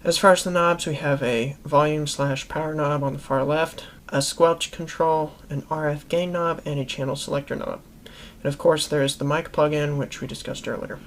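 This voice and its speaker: A man's voice: on the high side, slight background noise. A man hosting a how-to video, narrating an instructional product review in a methodical, plainly explanatory way. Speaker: host of a how-to video series.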